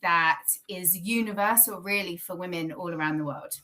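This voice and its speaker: Woman guest, bright clear voice, chatty and quick to laugh, heard over a video call. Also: lowish voice. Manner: lively, laughs often, self-correcting. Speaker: guest, founder of a communications agency. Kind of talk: casual podcast conversation.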